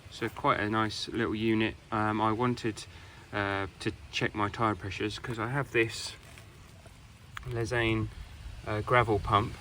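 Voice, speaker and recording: A British man giving a casual hands-on product review in an informal, halting way. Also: lowish voice, flat delivery, pushed and bright, a little hiss or hum.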